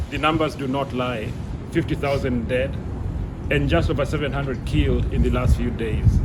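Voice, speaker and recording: Soft-spoken but impassioned male speaker, from Kenya, professor at a street press event; heavy traffic behind. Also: mid-range voice. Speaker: professor and plaintiff. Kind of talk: press conference statement. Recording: outdoor street noise, loud traffic.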